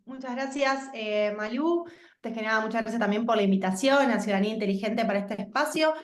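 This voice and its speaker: A woman's voice: on the high side, fairly quick. Woman presenting over a video call, roomy sound. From Argentina. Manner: composed, explanatory, with fillers. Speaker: guest speaker.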